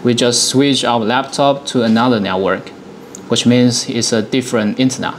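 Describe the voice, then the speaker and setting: A man in his thirties presenting a software demonstration, explaining things step by step in a dialogue. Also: mid-range voice, steady tone, moderate pace, slight background noise. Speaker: product presenter.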